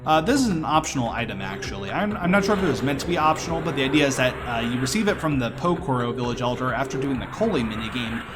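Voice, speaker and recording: A man's voice: mid-range voice, animated, fast, few pauses. Casual man commentating over game music. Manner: casual, explanatory. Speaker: speedrunner commentating own run. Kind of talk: live gameplay commentary.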